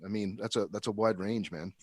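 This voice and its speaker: A man's voice: on the low side, steady tone, a little fast, slightly rough, dry recording. A man co-hosting a podcast, chatting casually with a guest. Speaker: podcast co-host, recording engineer. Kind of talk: casual interview chat.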